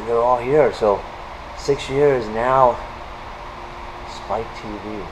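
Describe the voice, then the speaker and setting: A man's voice: medium pitch, extremely slow, noisy background. A young man hosting and interviewing a fighter in a large indoor gym, hyping him up with enthusiasm. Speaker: interviewer and show host. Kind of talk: casual interview.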